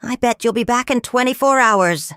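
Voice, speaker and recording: A woman's voice: a little high, moderate pace, a bit bright, clean tone, no room sound, clean studio sound, voice pushed up, close to an everyday voice. A woman voicing a shrewd male pirate prisoner with a teasing, challenging manner in a children's story. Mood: mocking.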